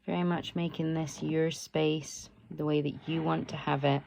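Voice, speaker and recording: A woman teaches guided meditation in a low, mellow voice with a noticeable creak. Her delivery is slow, soothing and permissive, giving gentle instructions without any push. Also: level tone, slight background noise. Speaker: yoga nidra teacher.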